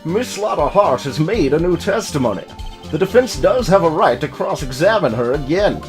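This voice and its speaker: A man's voice: a little high, lively intonation, moderate pace, over music, lightly acted. A man voicing a stern elderly courtroom judge in a video game, speaking formally and officially. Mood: solemn. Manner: formal, declarative.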